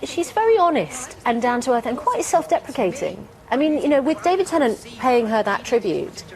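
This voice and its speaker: A woman's voice: sits high, big pitch swings, normal speed, a little mellow. A woman asking questions in a conversational interview, rephrasing them as she goes.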